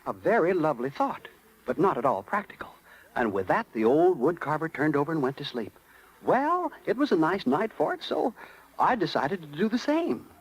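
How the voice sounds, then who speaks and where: A man's voice: on the high side, poor audio. A man playing a folksy little cricket who narrates with wry asides in 1930s radio style. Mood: amused, wry. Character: chirpy folksy little male cricket storyteller. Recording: vintage 1930s radio, music behind.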